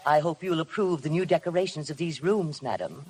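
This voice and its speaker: A woman playing a stiffly formal, quietly menacing housekeeper in a 1950s radio drama, speaking with measured deference. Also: low voice, breathy edge. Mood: coldly formal. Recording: noisy vintage 1950 radio broadcast.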